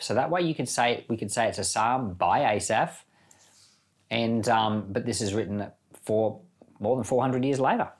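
Man reading and explaining scripture. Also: fairly low pitch. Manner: explanatory, reasoning through options step by step. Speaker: pastor hosting a Bible podcast. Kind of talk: scripture reading followed by informal teaching commentary.

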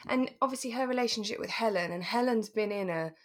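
A woman co-hosting a relaxed podcast about a radio drama, giggly and emphatic in casual conversation. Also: on the high side, quick pace. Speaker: podcast co-host.

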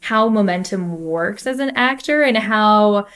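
A woman's voice: medium pitch, animated, a little slow, fairly bright tone, clear, resonant tone. A woman hosting a podcast, asking long, loosely worded questions in a casual chat. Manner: curious, rambling questions with many "like", laughs along. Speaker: podcast host.

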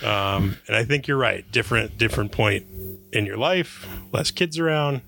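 A man's voice: medium pitch, normal speed, creaky, a bit bright, soft background music. A young man co-hosting a podcast, answering with dry, wisecracking asides. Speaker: podcast co-host. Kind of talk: casual chat.

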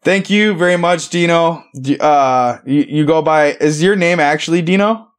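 A man hosting a podcast, talking in a relaxed, rambling, easygoing way and swearing casually. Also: fairly high pitch, moderate pace. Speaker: podcast host. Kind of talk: casual chat.

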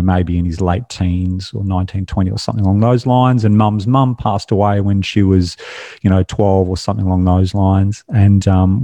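A man's voice: low-pitched, mellow, no room sound. An Australian man speaking as a podcast guest in a casual, candid, self-deprecating conversational way.

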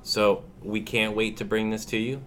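A man's voice: fairly low pitch, flat delivery, moderate pace, fairly bright tone, slight background noise. A man, a teacher hosting a school podcast in an upbeat, welcoming way.

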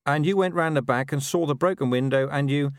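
A man's voice: fairly high pitch, fairly quick, clean tone, no room sound, voice pushed up, natural voice. A man voicing a weary, dry-witted watch commander who asks questions in a sardonic way.

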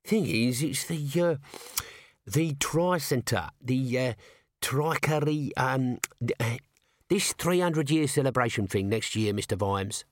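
Man voicing a nervous low-ranking corporal, high, hesitant, lots of "sir". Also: animated, slow, dry recording, studio quality. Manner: stammering, hesitant, full of "sir"s. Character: nervous little male corporal, shifty and evasive. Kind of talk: audiobook character voice.

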